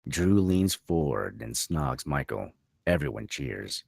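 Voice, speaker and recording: A man's voice: a bass voice, big pitch swings, slow, creaky, darkish tone, no room sound, studio quality. A man narrating stage directions as scripted narration at a table read.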